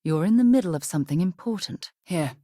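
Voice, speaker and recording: An actress playing a composed, principled spaceship explorer in a video game, caring but quick to reproach, speaking in an earnest, articulate and expressive way. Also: female voice, fairly low pitch, dry recording, clean studio sound. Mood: reflective. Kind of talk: video game character voice lines.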